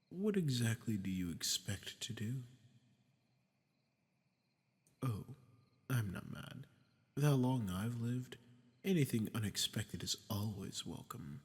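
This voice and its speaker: A low, gravelly archdemon, acted out by a man, speaks in a long cavernous reverb. He is sadistic and smug, politely menacing, often sinking toward a breathy whisper as in a dark fantasy audio roleplay. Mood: amused, threatening. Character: sadistic, smug, ancient male archdemon bargaining for souls. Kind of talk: scripted roleplay audio drama monologue.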